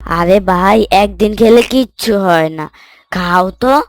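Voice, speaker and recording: A woman's voice: mid-range voice, slow. A woman voicing a sly, cocky young boy in a children's moral cartoon, coaxing and brash in manner.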